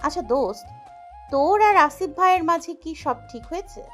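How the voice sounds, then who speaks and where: A woman acts out a naive, loving young Bangladeshi wife in an audio romance. Her voice is high, with a cooing, teasing manner over faint music. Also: lively intonation, normal speed, a bit bright.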